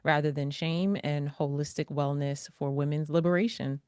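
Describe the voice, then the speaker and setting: A woman from New York City, a pastor, author and podcaster, telling her story as an interview guest with a lively, preacherly manner. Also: sits low, normal speed, clean tone, no room sound, clean studio sound.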